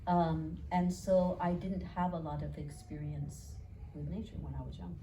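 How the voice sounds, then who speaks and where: Educator, woman, New York accent, low-pitched, reflective storyteller, heard from a distant mic with clear background noise. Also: steady tone, moderate pace, dark tone. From New York City. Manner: thoughtful, storytelling, frequent fillers and restarts. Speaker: educator and author. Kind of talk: reflective conversational interview.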